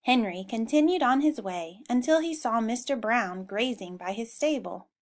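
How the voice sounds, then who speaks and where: A woman reading a children's storybook aloud in an expressive style. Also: fairly high pitch, normal speed. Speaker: storybook reader.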